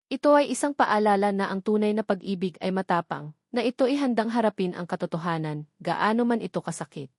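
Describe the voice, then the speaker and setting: Storyteller, female, Filipino accent. Sentimental, earnest dramatic narration, mid-pitched voice, very dry recording. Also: darkish tone, no echo.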